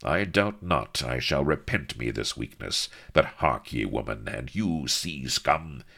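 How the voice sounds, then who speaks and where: A man's voice: a bass voice, expressive, measured pace, gravelly, pushed and bright, heavily acted. A man voicing a stern, dashing pirate hero speaking in old-fashioned commanding terms. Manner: commanding, archaic.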